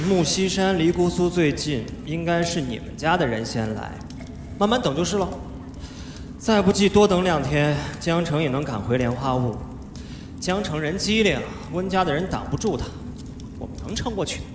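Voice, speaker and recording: An actor playing a playful, cheeky young wuxia cultivator, chattering and teasing in live stage audio-drama style. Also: male voice, fairly high pitch, natural voice. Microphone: handheld microphone. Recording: live stage, echoey hall, music behind.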